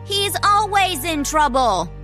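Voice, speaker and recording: A woman's voice: very high-pitched, sing-song, takes it slowly, bright, forceful tone, faint music behind, exaggerated voice. A young woman acting a proud, curt teenage squire in an audio drama, brusque and defensive. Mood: exasperated, wary.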